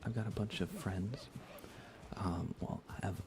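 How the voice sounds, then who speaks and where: A man speaking softly as an ASMR game streamer, hushed and often near a whisper, rambling casually as he chats with viewers. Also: lowish voice, expressive, unhurried. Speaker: live streamer. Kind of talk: soft-spoken ASMR gaming livestream chat.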